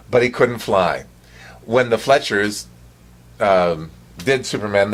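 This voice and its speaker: A man hosting a show, informally presenting to viewers in a halting way, in short broken phrases. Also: lowish voice, a bit bright. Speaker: show host.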